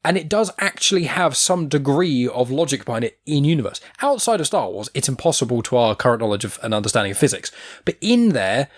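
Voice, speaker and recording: A man speaks on a podcast at an ordinary middle pitch. He argues his case in long, emphatic runs, pressing each point firmly. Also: big pitch swings, quick pace. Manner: emphatic, argumentative, builds points at length. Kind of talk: casual podcast chat.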